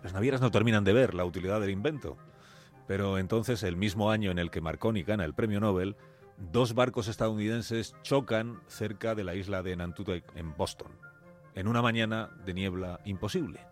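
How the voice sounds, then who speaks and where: A man with a Castilian Spanish accent narrating a scripted radio story in a dramatic, deliberate way, in short suspenseful phrases. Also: lowish voice, faint music behind.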